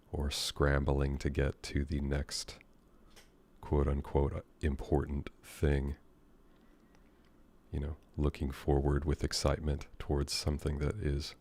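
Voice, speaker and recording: An American man leads a guided meditation in an extremely low, dark and relaxed voice. His delivery is almost monotone, with very little rise or fall. He speaks gently and without hurry, leaving long pauses as he improvises his guidance. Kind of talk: guided meditation, soothing and unscripted.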